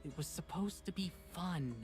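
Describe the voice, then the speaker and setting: A woman's voice: lowish voice, normal speed, a little mellow, breathy edge, faint music behind. An actress playing a persistent, upbeat young woman investigator in a visual novel, with a casual, coaxing manner. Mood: frustrated, coaxing.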